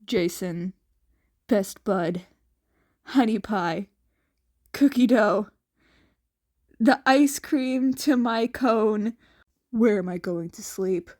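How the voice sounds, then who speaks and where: A woman's voice: mid-range voice, extremely slow, long pauses, darkish tone, voice pushed down. A woman voicing a teasing, dramatic young man, a sardonic boyfriend in a fan fiction story.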